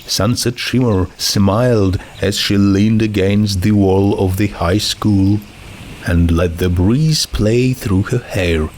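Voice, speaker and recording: Slow, measured male story narrator. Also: fairly low pitch, a little hiss or hum, poor audio. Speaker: narrator of fan fiction audio readings.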